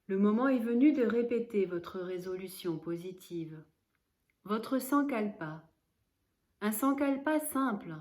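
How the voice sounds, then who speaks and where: Woman doing guided relaxation, really slow and calm, normal pitch, gentle instructions w pauses. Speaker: yoga nidra instructor.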